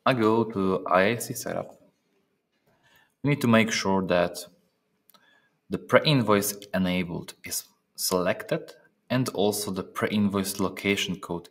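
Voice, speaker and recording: A man narrating a step-by-step software training demonstration, speaking haltingly with pauses in mid-phrase. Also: lowish voice. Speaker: software trainer presenting a tutorial.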